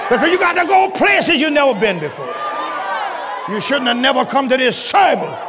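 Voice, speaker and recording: A man preaching a sermon to his congregation, shouting and chanting in a call-and-response style. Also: very high-pitched, very animated, normal speed. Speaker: pastor. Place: large church. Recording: crowd and noise behind, loud. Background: congregation crowd.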